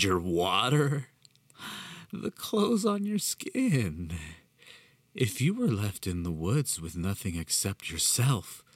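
A man voicing an unhinged, sinister man who speaks in a slow, drawn-out, mocking way. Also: low-pitched, no echo, full character voice. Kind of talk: horror story narration.

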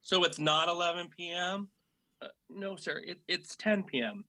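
A man co-hosting a podcast, reading a personal essay aloud and acting out the dialogue in an expressive storytelling manner. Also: high voice, moderate pace, no echo. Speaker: podcast co-host.

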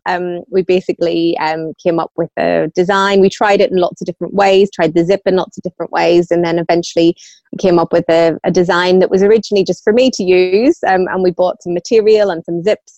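A woman's voice: fairly low pitch, darkish tone, no room sound. Northern Irish woman, thirties, conversational guest; dry, slightly muffled home recording. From Northern Ireland. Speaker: podcast guest, small business founder. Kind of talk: casual conversational storytelling.

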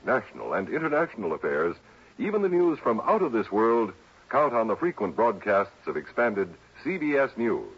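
Husky, animated American radio announcer man. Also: mid-range voice. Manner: polished, emphatic. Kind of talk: scripted mid-century radio promo announcing. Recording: dull vintage radio recording.

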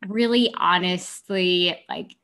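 A woman's voice: on the low side, fairly bright tone. A woman in her thirties chatting casually, thinking aloud with many fillers and restarts. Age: 30s. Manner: thoughtful, halting, frequent fillers. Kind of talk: casual chat.

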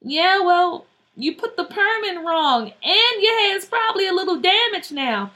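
A woman's voice: extremely high pitch, very animated, normal speed, bright, forceful tone, voice pushed up, heavily acted. A woman voicing a bossy, alarmed older sister who scolds and takes charge in a children's story. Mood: explaining, firm. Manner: scolding, urgent, exclaiming.

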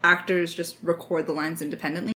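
A woman talks in a relaxed creator Q&A as a writer and voice actor. Her voice sits at a middle pitch for a woman. She is informal and chatty, with a giggly way of talking. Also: fairly quick, a little hiss or hum. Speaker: lead writer and voice actor of an audio drama podcast. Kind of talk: casual creator Q&A chat.

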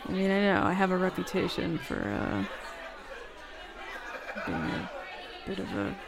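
A woman playing a flustered, smitten, jealous roommate in an intimate roleplay audio, speaking haltingly and nervously. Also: fairly low pitch, very animated, exaggerated voice. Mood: shy, tender. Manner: halting, nervous, stammering with breathy laughs. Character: flustered, smitten, jealous bad-girl roommate confessing a crush. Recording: bar crowd murmur behind voice.

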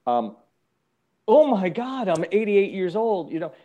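A man hosting a casual, reflective conversation, speaking thoughtfully and haltingly and often checking in with the listener. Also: sits high, sing-song, mellow, highs cut off. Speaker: host.

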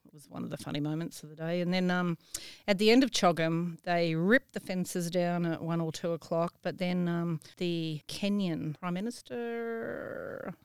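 Smooth-voiced woman telling anecdotes. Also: fairly low pitch, fairly even intonation, moderate pace, no room sound, clean studio sound. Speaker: interview guest, event venue professional. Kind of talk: casual conversational anecdote telling.

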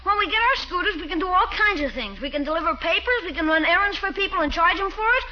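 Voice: extremely high pitch, animated, fast. An actor playing a cheeky, wheedling young boy, a nephew in a 1940s radio comedy. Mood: eager, wheedling. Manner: wheedling, breezy, slangy. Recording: muffled 1940s radio recording.

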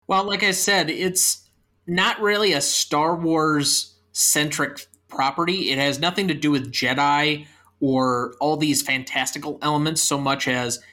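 Film podcast host: young American man, crisp forward brightness, higher-than-average pitch. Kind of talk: film podcast discussion and sign-off.

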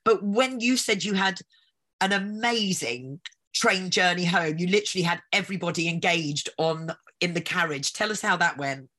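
Woman co-host, low voice, relaxed questioning. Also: moderate pace. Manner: prompting questions. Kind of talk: casual chat.

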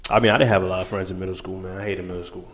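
A man's voice: low voice, steady tone, very fast, a bit bright. A man chatting casually with friends in an easygoing, slangy way, often asking "feel me". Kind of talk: casual group chat.

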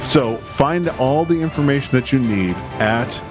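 A man's voice: mid-range voice, animated, measured pace. A man giving a promo as a podcast host in a bantering style, with music playing behind him. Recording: music bed under the voice.

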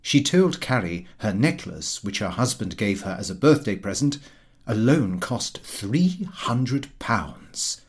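A man giving an expressive, slightly fussy scripted reading of a comic diary novel. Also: on the low side, takes it slowly, slightly rough. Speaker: audiobook narrator.

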